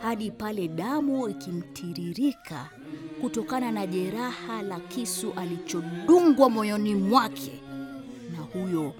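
Radio play mourner, performed by a woman: solemn, declamatory, emotional, very measured pace, over music. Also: medium pitch, animated, lightly acted. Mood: grieving, bitter. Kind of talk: theatrical dramatic monologue. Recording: music behind the voice.

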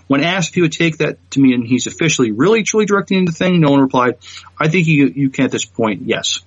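A man's voice: medium pitch, slightly rough, no echo, muffled recording. A man co-hosting a podcast, chatting casually about entertainment news and often thinking aloud in a hesitant way. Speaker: podcast co-host.

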